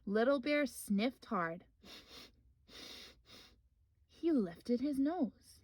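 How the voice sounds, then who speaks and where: Female children's story reader: high voice, animated intonation, simple encouraging delivery aimed at little listeners. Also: extremely slow, reverberant, recorded from a distance. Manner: simple questions and encouragement addressed to small children. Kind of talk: expressive read-aloud for young children.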